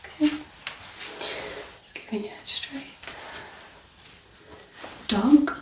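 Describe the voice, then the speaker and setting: A woman speaking softly and breathily, often whispering, calm and reassuring as she plays a doctor in an ASMR medical exam. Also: high-pitched, drawn-out words. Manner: calm, reassuring, gently instructing. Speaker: ASMR performer playing a doctor. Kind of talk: soft-spoken ASMR medical exam roleplay. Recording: roomy echo, distant mic, background noise.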